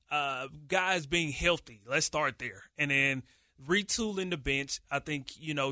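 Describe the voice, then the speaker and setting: A man co-hosting a casual sports podcast, talking with animated energy and frequent restarts. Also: on the high side, dry recording, studio quality. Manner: animated, hype-filled delivery with many restarts. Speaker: podcast co-host, radio producer.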